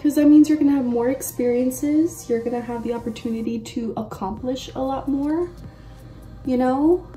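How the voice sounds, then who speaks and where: A woman's voice: high voice, moderate pace, warm tone. A woman in her mid twenties chatting casually and slangily, with frequent exclamations, as she does a makeup tutorial at home. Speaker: makeup vlogger. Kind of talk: casual chat while doing a makeup tutorial. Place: bedroom-like room at home. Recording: roomy echo, distant mic, faint music.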